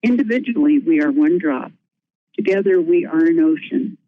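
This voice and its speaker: A woman's voice: low-pitched, animated, moderate pace, no room sound. A woman giving a prepared presentation as a nursing home administrator, earnest and careful, with small restarts. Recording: tinny phone-line audio.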